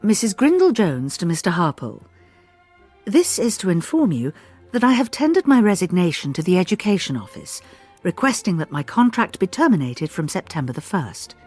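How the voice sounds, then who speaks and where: An actress playing a stiff, officious schoolmistress nearing sixty, formally reading out a memo in a radio drama. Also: female voice, on the low side, sing-song, normal speed, a little mellow, slightly rough, soft background music. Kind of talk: radio drama, read-out letter.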